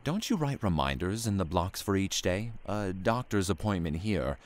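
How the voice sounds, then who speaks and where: A man playing a very deep-voiced mysterious salesman. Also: very animated, normal speed, slight background noise. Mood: courteous, mysterious. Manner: formal, smooth, courteous. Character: mysterious polite male salesman dealing in calendars. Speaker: door-to-door salesman. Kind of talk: audio drama acting.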